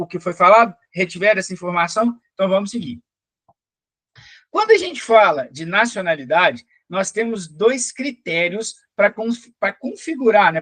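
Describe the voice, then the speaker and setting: A man's voice: sits high, a bit bright, dry recording. A man from Belo Horizonte with a Minas Gerais Brazilian accent, giving an explanatory exam-prep lecture in a didactic way with frequent check-in questions. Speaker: teacher, police or military sergeant.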